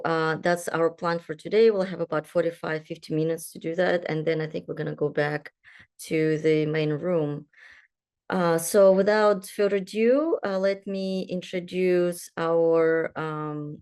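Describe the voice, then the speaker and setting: A young woman from Kazakhstan leads a virtual panel over a video call, her voice sitting a little low for a woman. She talks in a halting way, with plenty of ums and restarts between her points. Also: steady tone, a little mellow, smooth. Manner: halting, many fillers and restarts. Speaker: panel moderator. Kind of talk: moderating an online panel.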